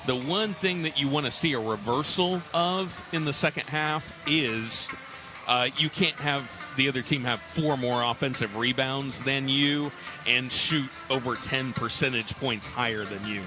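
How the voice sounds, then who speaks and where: A man hosts a live basketball halftime show on radio, breaking down the play. His voice sits in a middle register. Music plays clearly behind him. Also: medium pitch, unhurried. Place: arena radio broadcast. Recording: music behind the broadcast talk.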